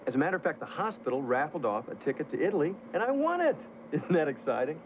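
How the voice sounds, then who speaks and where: Teasing, charming romantic lead; lively intonation, dull TV-movie audio with noise behind. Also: male voice, on the high side, fast. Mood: playful. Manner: playful, teasing, flirtatious. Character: charming man passenger posing as an orderly. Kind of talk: 1980s TV movie romantic comedy. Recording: muffled TV audio with cabin noise.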